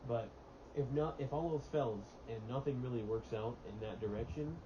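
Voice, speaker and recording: Teenage boy, chatty and relaxed. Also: medium pitch, moderate pace. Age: teens. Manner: relaxed and chatty, with many fillers. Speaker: podcast co-host. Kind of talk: casual chat. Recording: dull lo-fi mic with steady hiss.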